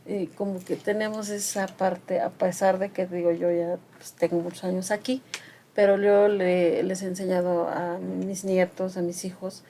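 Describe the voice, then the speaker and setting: Older mixe grandma on the radio, gets emphatic and lively when making her point. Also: fairly low pitch, moderate pace, slight background noise. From Mixe region of Oaxaca, Mexico. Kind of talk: conversational radio talk.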